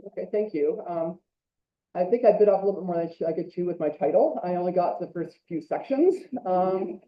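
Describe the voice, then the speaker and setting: A woman at a conference, reading her academic paper aloud with quick self-correcting asides and a loud voice. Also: lowish voice, lo-fi sound. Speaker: conference speaker. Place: lecture hall.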